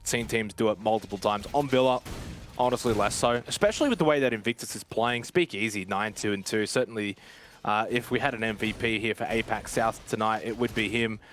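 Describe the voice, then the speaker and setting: A man's voice: fairly low pitch, brisk, a bit bright, slight background noise. A man commentating on an esports match in a conversational, explanatory way. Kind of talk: analytical live match commentary.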